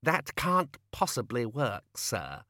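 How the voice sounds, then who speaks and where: A man's voice: mid-range voice, wildly swooping pitch, slow pace, sharp tone, no echo, studio quality, full character voice. A man voicing an earnest, fussy young male wizard scholar who talks in a precise, weary, correcting way.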